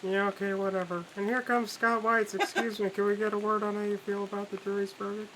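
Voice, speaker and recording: A man's voice: sits high, flat delivery, a little fast, a bit bright, a little hiss or hum, poor audio. A man chatting casually on a podcast as a juror and playing at news reporting.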